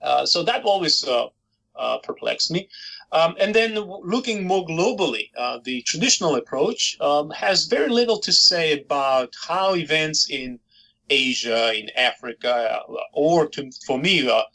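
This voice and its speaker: A middle-aged man, a historian from Georgia whose first language is Georgian, answering interview questions thoughtfully with many pauses and fillers. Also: a little high, expressive, creaky, bright, forceful tone, rough recording. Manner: thoughtful, hesitant with frequent fillers. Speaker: historian, author.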